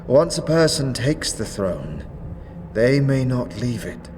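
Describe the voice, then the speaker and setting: A man's voice: medium pitch, big pitch swings, takes it slowly, darkish tone, a little hiss or hum, pitched above the performer's own voice. A man voicing a solemn undead male ruler who speaks formally, with archaic thee and thou. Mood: grave.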